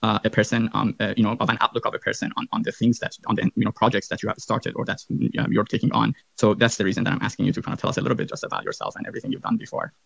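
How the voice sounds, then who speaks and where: Male host, rapid and gravelly, rambling with fillers, dry dull recording. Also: fairly low pitch, lively intonation, a bit bright, no echo. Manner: rambling, many fillers and restarts. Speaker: host, physician. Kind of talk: casual interview chat.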